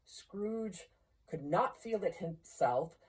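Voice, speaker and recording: A woman reading a classic story aloud in an expressive literary narration style. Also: fairly low pitch, takes it slowly, husky, recorded from a distance. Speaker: story reader.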